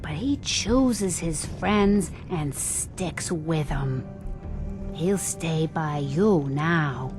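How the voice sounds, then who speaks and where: An actress playing a weary, folksy old seer in a video game, with an American accent and a slow, dramatic, breathy delivery. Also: female voice, low-pitched. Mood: warm, folksy. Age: older. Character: weary old psychic woman, folksy and spellbound. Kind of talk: video game character acting. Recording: loud music behind the voice.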